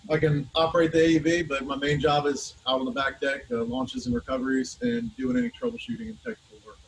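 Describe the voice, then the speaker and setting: A man's voice: fairly high pitch, fast talker, gravelly, slight background noise, poor audio. A man from Louisiana who works as a deck technician, introducing himself in a short, matter-of-fact way. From Louisiana, USA.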